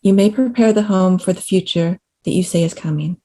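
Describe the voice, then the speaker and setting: A woman's voice: on the low side, fairly quick, warm tone. An actress playing a composed, cautious and formal widowed mother in a screenplay table read. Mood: composed, guarded.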